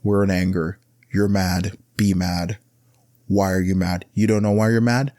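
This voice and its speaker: A man holds forth on his solo podcast, his fairly low voice rambling through blunt advice. When he wants to stress a point, he jumps higher and louder. The sound is dry, with no room around it. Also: little pitch movement, measured pace, no echo. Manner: rambling, with frequent restarts and filler words. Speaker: podcast host. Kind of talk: casual solo podcast monologue.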